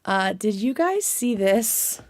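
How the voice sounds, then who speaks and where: A woman's voice: medium pitch, very animated, takes it slowly, a little hiss or hum. A woman chatting casually as a livestream host, with an informal, scattered manner and free swearing.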